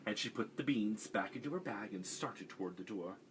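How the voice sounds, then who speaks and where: A man reading a picture book aloud for a children's storytime, animated and expressive. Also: lowish voice, brisk, a little hiss or hum.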